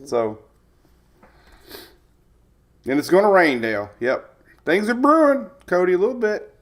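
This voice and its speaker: A man's voice: high voice, wildly swooping pitch, measured pace, a bit bright. A man hosting a casual live weather stream, chatty, rambling and jokey, sounding slightly stuffed up with a cold as he greets viewers.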